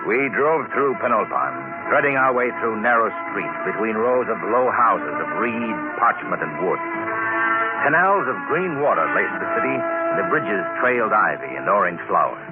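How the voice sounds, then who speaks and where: An actor playing an affable junior administrator, easygoing and conversational, in a 1950s radio drama. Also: male voice, fairly low pitch, huge pitch swings, moderate pace. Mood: reminiscing. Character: affable young male junior administrator, recalling his past. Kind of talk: 1950s radio drama acting and first-person narration. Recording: muffled old radio recording with music.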